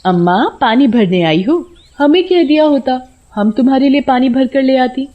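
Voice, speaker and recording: A woman performs a friendly village woman from a storybook. She speaks high and brightly inquisitive, with lively questions. The sound is a bit lo-fi. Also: normal speed. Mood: helpful. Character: friendly, curious village women gossiping at the well.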